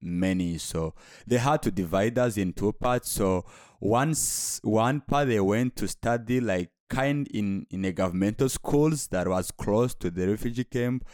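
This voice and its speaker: Soft-spoken young man. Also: on the low side, a little slow, dry recording, studio quality. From Rwanda. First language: Kinyarwanda. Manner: soft-spoken, hesitant with repeated restarts. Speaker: guest. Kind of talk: casual interview conversation.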